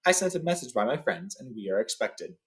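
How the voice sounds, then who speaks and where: A man's voice: mid-range voice, very animated, brisk, natural voice. A man reading the part of a grave, wise old male wizard guide in a storybook, formal and instructive.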